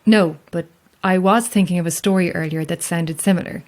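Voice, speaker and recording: Actress as lone female lighthouse keeper: lowish voice, guarded, sceptical, wryly questioning, naturalistic horror audio drama. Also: fairly quick, darkish tone, natural voice. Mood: wry, curious.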